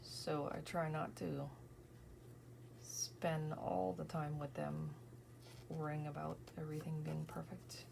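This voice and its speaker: Tired, gravelly, low-voiced woman crafting. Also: flat delivery, slow, a little hiss or hum. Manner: soft-spoken, thinking aloud, often drifting into whispers. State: tired, voice worn from early morning. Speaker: scrapbooking crafter. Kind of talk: casual crafting vlog narration.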